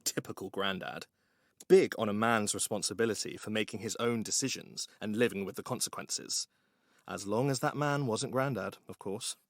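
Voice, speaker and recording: A man's voice: lowish voice, normal speed, no room sound. A man narrating a scripted story with wry, dry humour.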